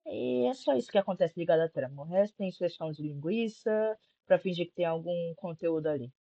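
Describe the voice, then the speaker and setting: A woman's voice: fairly low pitch, lively intonation, a little fast, creaky. A young woman with a Brazilian accent giving casual, sarcastic video-essay commentary, informal and prone to swearing, on a slightly dull lo-fi microphone. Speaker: YouTube commentary host.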